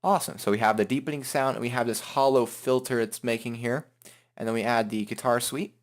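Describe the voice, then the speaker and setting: A man hosting a casual, improvised software tutorial, talking in an informal, rambling way. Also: medium pitch, fairly even intonation, a little fast. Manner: informal, rambling, hums filler syllables while working. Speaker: tutorial host.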